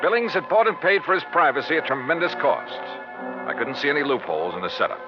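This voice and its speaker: An actor playing a skeptical, wisecracking, plain-spoken insurance investigator in a 1950s radio drama. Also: male voice, fairly high pitch, very animated, fast, over music. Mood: brisk, reflective. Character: wry, skeptical hardboiled male insurance investigator. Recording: dull, slightly noisy old radio recording.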